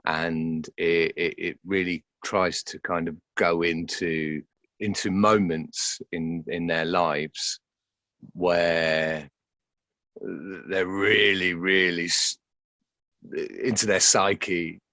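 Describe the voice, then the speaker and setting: A man's voice: deep voice, steady tone, slow, bright, forceful tone, no echo. A man being interviewed as an actor, speaking reflectively and hesitantly, searching for words and repeating himself.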